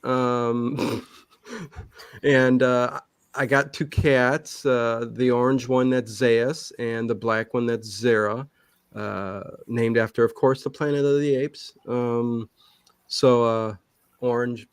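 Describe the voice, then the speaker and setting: A man's voice: medium pitch, fairly even intonation, slow, smooth, dry recording, clean studio sound. A man chatting casually in an interview, rambling and emphatic, often restarting his sentences halfway through. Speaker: livestream channel host being interviewed.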